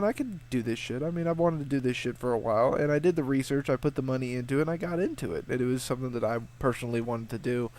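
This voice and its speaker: A young man answers viewer questions in a loose, rambling, laid-back manner, swearing often and restarting his sentences. His voice sits at an ordinary middle pitch and sounds a bit sniffly, as if he has a cold and a sore throat. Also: darkish tone. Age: young adult. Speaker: video game walkthrough channel host.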